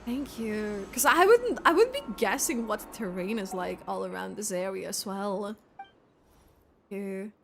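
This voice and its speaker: A woman's voice: medium pitch, expressive, unhurried, vocal fry, fairly bright tone, faint music behind. A woman doing casual livestream game commentary, chatting to viewers and thinking aloud as she plays. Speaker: game streamer.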